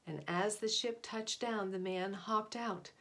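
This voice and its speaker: A woman's voice: medium pitch, fairly even intonation, measured pace, a bit bright. A woman narrating a children's picture book aloud in a dramatic, emphatic way. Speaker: storybook reader.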